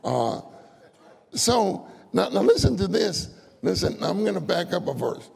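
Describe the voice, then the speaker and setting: A man's voice: high-pitched, sing-song, a little slow, creaky, a little mellow, breathy edge. An older man preaching a folksy sermon, telling stories, acting out voices and laughing often. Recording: long hall reverb.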